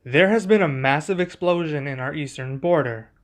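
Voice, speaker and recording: A man playing a composed, earnest young king in an ASMR roleplay, speaking in a measured, formal way. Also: fairly high pitch, close to an everyday voice. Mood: businesslike.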